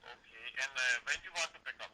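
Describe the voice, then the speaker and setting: A man working at a restaurant, taking an order by phone in halting, non-native English and repeating items back. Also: medium pitch, sharp tone. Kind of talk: customer service phone call. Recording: muffled telephone line.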